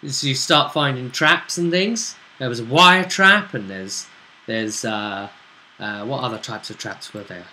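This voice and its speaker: A man's voice: mid-range voice, measured pace, sharp tone. A man chatting through a recap of a tabletop role-playing session as game master, in a bantering, conversational storytelling way. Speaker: game master and show host.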